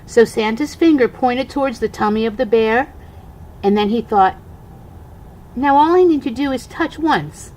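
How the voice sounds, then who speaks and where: An older woman, a grandmother, reading a rhyming children's storybook aloud in an expressive, singsong way. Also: a little high, a little slow, slight background noise, poor audio.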